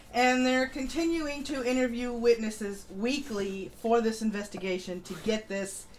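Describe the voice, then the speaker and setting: A woman with a slightly high voice hosts a political livestream over some background noise. She works through the news informally, adding blunt opinions and casual swearing as she goes. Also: low-quality recording. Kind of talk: news rundown with casual commentary.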